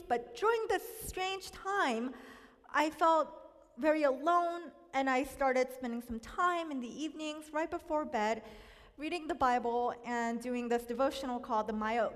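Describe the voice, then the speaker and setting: A high-voiced young woman from South Korea shares a personal testimony. She talks in a candid, reflective way. Her voice carries a long hall reverb. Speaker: speaker sharing a personal testimony.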